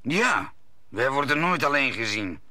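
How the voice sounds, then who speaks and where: Radio drama: formidable, haughty swordsman, clear-cut bright tone, lively intonation. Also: mid-range voice, unhurried, dry recording, studio quality, heavily acted. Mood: composed. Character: touchy, haughty male musketeer, formidable and composed. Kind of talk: audio drama acting.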